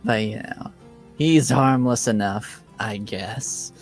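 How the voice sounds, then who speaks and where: A man narrating as game master and voicing a tavern character, halting with many fillers. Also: medium pitch, creaky, soft background music.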